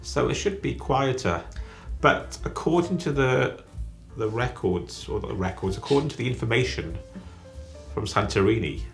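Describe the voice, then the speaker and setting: A man planning a trip on camera, chatting in a halting way that restarts its sentences. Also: mid-range voice, lively intonation, creaky, soft background music. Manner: chatty, halting, self-correcting. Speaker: travel vlogger. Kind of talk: casual chat.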